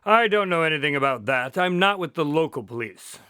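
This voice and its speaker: An actor in a radio drama playing a polite, inquisitive police consultant, courteous and measured in his questions and narration. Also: a little high, expressive, bright, forceful tone, clear, resonant tone, no room sound, clean studio sound. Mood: politely puzzled.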